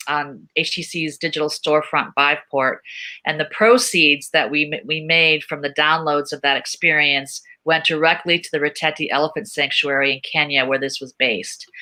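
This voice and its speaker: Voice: mid-range voice, bright, forceful tone. A panel speaker explaining projects in an explanatory style. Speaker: panel speaker from a tech company funding VR projects.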